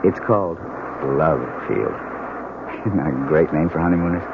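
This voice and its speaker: A man's voice: extremely low pitch, measured pace, vocal fry, background noise, heavily acted. A man playing a breezy, evasive newlywed husband in a radio drama, with a glib, jocular way of talking. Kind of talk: 1970s radio drama acting. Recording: dull old radio, music behind.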